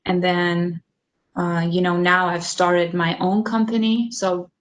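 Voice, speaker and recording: A woman, a doctoral graduate, speaking relaxed and unscripted as a panelist on a video call. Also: fairly low pitch, slow, a little hiss or hum. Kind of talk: panel remarks.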